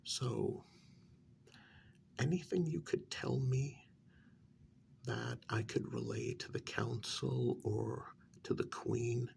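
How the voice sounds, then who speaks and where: Man playing a gravelly, soft-spoken vampire official. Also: mid-range voice, a little hiss or hum. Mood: coaxing, concerned. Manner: halting, coaxing, many pauses. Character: patient, exasperated male vampire official questioning a prisoner. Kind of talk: soft-spoken ASMR role-play.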